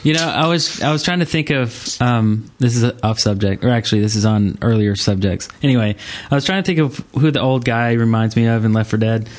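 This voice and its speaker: A man's voice: mid-range voice, a little fast, few pauses. Man chatting casually on a podcast. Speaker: gaming podcast host. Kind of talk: casual chat. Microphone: Skype call.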